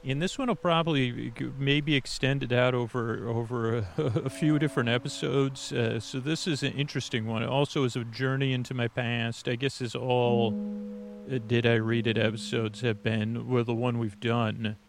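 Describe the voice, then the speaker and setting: Gravelly, meandering man. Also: medium pitch, fairly even intonation, slight background noise. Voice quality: gravelly, creaky. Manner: meandering, halting, repeats words and trails off. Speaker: podcast host. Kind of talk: rambling unscripted bedtime storytelling.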